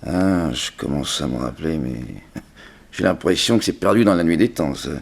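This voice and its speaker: An actor playing a modest, easily rattled prison warder with a gravelly, husky voice and a hesitant, grumbling manner, in a 1960s French radio drama. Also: male voice, extremely low pitch, expressive, normal speed, full character voice. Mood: uneasy, puzzled. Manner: hesitant, grumbling, plain-spoken. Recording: old radio serial with music behind.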